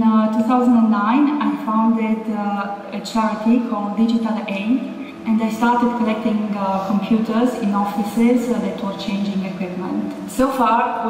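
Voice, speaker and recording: A woman from Romania giving an earnest prepared speech as a contest finalist, pausing often on fillers. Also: mid-range voice, darkish tone, slight background noise. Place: large event hall. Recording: echoey hall from far off.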